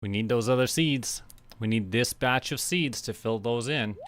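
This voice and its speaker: A man from Canada doing casual gameplay commentary, thinking aloud to himself in short, unhurried remarks. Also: medium pitch, fairly bright tone, a little hiss or hum. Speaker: livestreamer playing a farming game. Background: game music.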